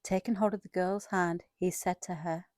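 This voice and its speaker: Female scripture narrator, lowish and very mellow, scripted storytelling, dry recording. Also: steady tone, moderate pace, dry recording. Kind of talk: scripted dramatized Bible narration.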